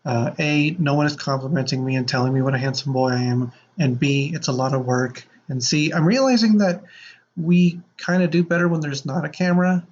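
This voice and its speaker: A man hosting a podcast in a casual, playful way, with rambling, halting delivery. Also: fairly high pitch, steady tone, a little mellow, slight background noise. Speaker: podcast host. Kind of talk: casual chat with playful improvised sci-fi bits. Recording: slightly muffled audio with prominent music.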